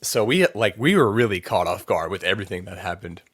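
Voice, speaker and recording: A man's voice: low-pitched, animated, fairly quick, a bit bright, breathy edge, no room sound, clean studio sound. A man talking as a podcast guest in easygoing chat, rambling with fillers and restarts. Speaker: band vocalist, podcast guest. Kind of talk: casual interview chat.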